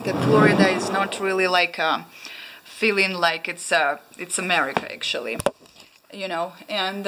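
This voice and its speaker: A woman's voice: medium pitch, fairly bright tone, slight background noise, poor audio. A young woman from Ukraine with a Russian accent, chatting casually to camera at home, hesitant with many ums and restarts. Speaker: vlogger. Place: small room at home, indoors.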